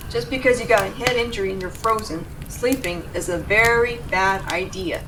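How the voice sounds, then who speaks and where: Heard a little away from the mic over clear background noise, a woman acts out a young tsundere rescuer in an intimate audio drama. She is gruff yet devoted, with an emotional delivery that moves between soft murmurs and exasperation at a medium pitch. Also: moderate pace, recorded from a distance, lightly acted. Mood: worried, firm. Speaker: voice actor in audio roleplay.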